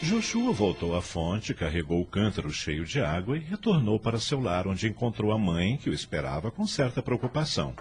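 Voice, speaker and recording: A man's voice: lowish voice, wildly swooping pitch, normal speed, few pauses, soft background music. A man with a Brazilian accent narrating a radio drama from a script in a solemn storytelling delivery.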